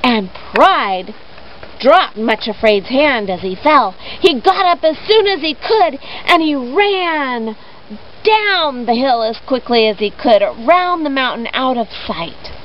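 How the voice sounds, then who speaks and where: A woman retelling a story for children in an expressive, deliberate way with dramatic pauses. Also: sits high. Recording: dull lo-fi recording with background noise.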